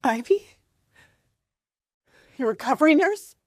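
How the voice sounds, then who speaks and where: A woman's voice: high voice, expressive, slow pace, dry recording, studio quality. An actress in an audio drama playing a wounded, anxious young wife, emotional and pleading in her delivery. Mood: shocked.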